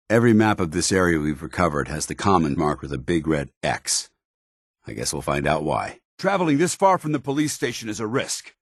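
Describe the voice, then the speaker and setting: An actor playing a stern, loyal military officer in a video game, speaking with formal, earnest, clipped diction. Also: male voice, fairly low pitch, no room sound, studio quality. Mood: grave, briefing. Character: stern, loyal, dutiful male military officer in power armor. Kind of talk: video game companion dialogue.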